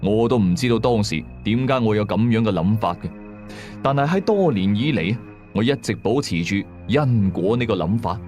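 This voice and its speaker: 一個香港粵語口音嘅男人，用第一身、口語化又戲劇性嘅方式講廣播鬼古。. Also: male voice, fairly low pitch, animated, normal speed, a little mellow, soft background music. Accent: Hong Kong Cantonese. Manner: dramatic, colloquial. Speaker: radio drama narrator. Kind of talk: first-person ghost story narration.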